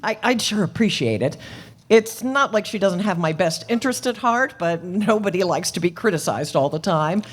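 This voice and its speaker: A woman's voice: fairly low pitch, expressive, normal speed. Lady doing a chatty flustered older landlady, voice goes up and down a lot, old radio drama style. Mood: anxious, pleading. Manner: hesitant, fussy, exclaiming. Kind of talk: live old-time radio play acting.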